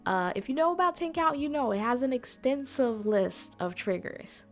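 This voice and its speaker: A woman's voice: high-pitched, lively intonation, normal speed, soft background music. A woman hosting an informal explainer talk in a chatty, conversational way, speaking directly to her listeners. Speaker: convention panel host.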